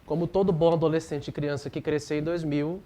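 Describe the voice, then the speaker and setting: Man in his twenties, priest, casual interview chat, fairly high-pitched, easy laughs. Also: steady tone, fairly quick, dark tone, a little hiss or hum. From Brazil. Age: 20s. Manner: chatty, laughs easily, repeats phrases. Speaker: parish priest guest.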